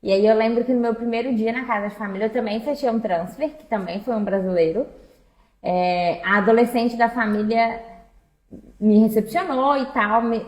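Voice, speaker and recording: A woman's voice: fairly high pitch, darkish tone. A woman chatting casually and expressively, telling personal anecdotes and checking in with listeners. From interior of Minas Gerais, Brazil. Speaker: exchange agency staff member co-hosting a talk. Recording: echoey room.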